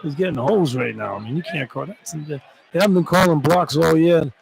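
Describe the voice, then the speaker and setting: A man's voice: a little high, fairly quick, gravelly, a crowd in the background, rough recording. A man giving informal, opinionated color commentary on a live basketball game in a conversational style. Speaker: radio color analyst. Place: courtside in an indoor arena. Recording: loud arena noise behind. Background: loud game ambience.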